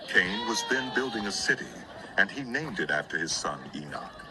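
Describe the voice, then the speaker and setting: A man giving a solemn, measured narration of a dramatized Bible reading. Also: on the low side, expressive, noisy background. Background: prominent music.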